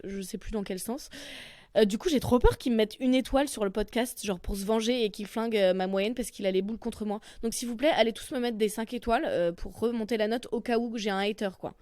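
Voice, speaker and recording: A young woman from France with a Parisian accent, hosting a comedy podcast with a self-mocking, animated delivery and crude asides. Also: medium pitch, fast, dry recording, clean studio sound. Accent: Parisian French. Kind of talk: comic monologue podcast.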